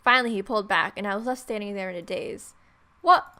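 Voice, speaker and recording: A woman's voice: medium pitch, fast talker, pushed and bright. Playful woman gamer reading aloud. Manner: playful, irreverent. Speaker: let's play host. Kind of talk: visual novel read-aloud with casual commentary.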